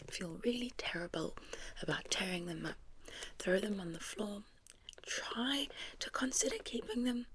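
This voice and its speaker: A woman with a South African accent performs an ASMR medical roleplay in a breathy whisper. Her delivery is gentle and playfully tongue-in-cheek, at a middle pitch. There is clearly audible noise behind her voice. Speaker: ASMR host playing a medical examiner.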